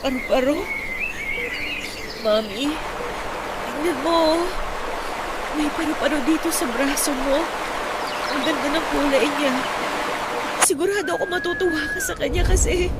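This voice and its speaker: A woman playing a grieving daughter in a Tagalog radio drama, pleading tearfully and haltingly at her mother's bedside. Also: high-pitched, very noisy. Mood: soft wonder. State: tearful, crying. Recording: voice under loud music.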